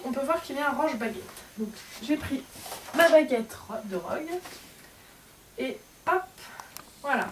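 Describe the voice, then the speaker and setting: A woman vlogger with a slightly high voice talks casually through her souvenirs, stumbling and restarting her sentences often. She sits a little back from the mic, with a faint hiss underneath. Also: recorded from a distance, poor audio. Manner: hesitant, many fillers and self-corrections. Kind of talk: casual show-and-tell.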